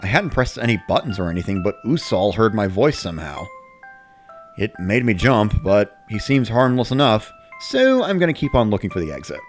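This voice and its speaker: A man's voice: lowish voice, very animated, moderate pace, faint music behind. A man giving a scripted first-person narration, like a diary of playing a video game. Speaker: narrator of a dramatic reading.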